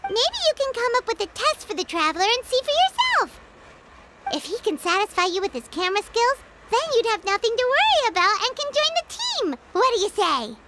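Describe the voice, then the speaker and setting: A woman voicing a tiny, cheeky fairy companion in an anime video game, with a squeaky, childlike, chirpy delivery. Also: big pitch swings, fairly quick, heavy background noise. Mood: persuasive. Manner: childlike, chirpy, refers to self by name. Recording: loud jingly music behind the voice.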